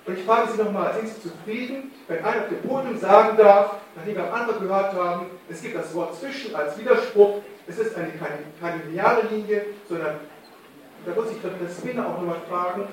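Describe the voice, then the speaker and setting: A man's voice: high-pitched, slight background noise. A man in the audience pressing an indignant question at a panel moderator. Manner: indignant, insistent. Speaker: audience member asking a question. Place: large hall at a community event. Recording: echoey hall from far off.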